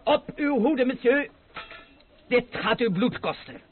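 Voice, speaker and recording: A haughty, sneering officer. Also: male voice, extremely high pitch, lively intonation, takes it slowly, slight background noise, exaggerated voice. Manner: haughty, mocking. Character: arrogant young cavalry officer. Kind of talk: radio play acting. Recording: narrow phone-like old recording.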